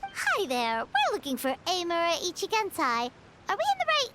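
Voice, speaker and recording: Very high-pitched, perky little fairy. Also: female voice, moderate pace, very noisy, heavily acted. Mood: polite, flustered. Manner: perky, expressive. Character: small childlike cheerful fairy companion, cheeky and chatty. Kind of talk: video game voice acting.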